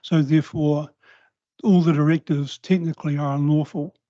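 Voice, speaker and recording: Quiet-spoken New Zealand man, mellow voice. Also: a little high, fairly even intonation, dry recording. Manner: measured, precise, quiet-spoken. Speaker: public forum speaker. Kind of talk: formal legal presentation. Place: council chamber. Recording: slightly dull, dry council meeting recording.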